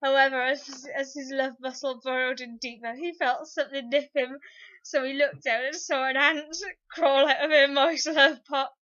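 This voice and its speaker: A woman's voice: high-pitched, little pitch movement, moderate pace, bright, forceful tone, dull audio. A woman narrating fan fiction as a comic, theatrical dramatic reading.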